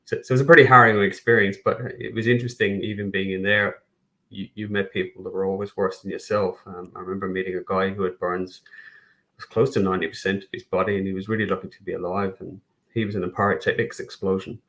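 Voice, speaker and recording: A man's voice: low voice, flat delivery, fast talker, pushed and bright, rough recording. A middle-aged man with a Kerry Irish accent, a guest in a casual podcast interview, joking at his own expense and restarting his sentences often. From Ireland. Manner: self-deprecating humor, frequent restarts and fillers.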